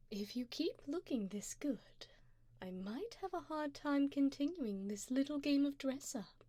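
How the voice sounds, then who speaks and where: A woman playing a wealthy, doting vampire sugar mommy in an ASMR roleplay, speaking softly in a genteel, seductive way, often slipping into a whisper close to the mic. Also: high-pitched, lively intonation, no echo. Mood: flirtatious. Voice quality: soft, breathy, often dropping to a whisper. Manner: slow, genteel, formal, seductive. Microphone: close binaural microphone.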